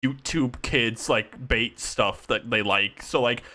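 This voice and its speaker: A man in his twenties co-hosting a podcast in casual chat, laughing often and talking in rapid bursts. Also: medium pitch, sharp tone. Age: 20s. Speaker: podcast co-host.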